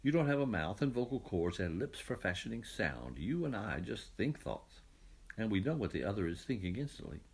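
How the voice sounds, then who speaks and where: A man reading a children's Bible storybook, voicing God as a calm, fatherly creator who explains things with gentle authority. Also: on the low side, a little fast, husky, exaggerated voice. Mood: reassuring, explanatory.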